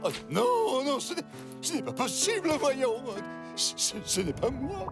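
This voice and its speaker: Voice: high-pitched, huge pitch swings, unhurried, music in the background, heavily acted. An actor voicing a pompous, grumpy dog in a French cartoon, haughty and blustering. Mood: shocked. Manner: haughty, blustering, stammers when flustered. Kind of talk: French cartoon dub acting.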